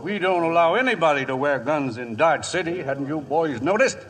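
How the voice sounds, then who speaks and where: A man's voice: fairly high pitch, animated, moderate pace, a crowd in the background, close to an everyday voice. An actor with an American accent playing a genial, folksy but firm Old West lawman in a radio western, with an easygoing drawl.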